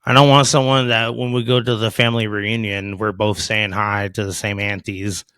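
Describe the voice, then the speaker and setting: Man in his thirties, fairly low voice, blunt and profane, close dry mic. Casual podcast guest. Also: level tone, moderate pace, a bit bright, clean tone, no echo. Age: 30s.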